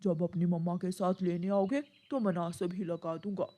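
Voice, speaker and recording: A woman voicing a kindly pigeon uncle who keeps a shop in a cartoon, measured and matter-of-fact. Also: on the low side, fairly even intonation, warm tone, voice pushed down, close to an everyday voice. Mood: informative, calm.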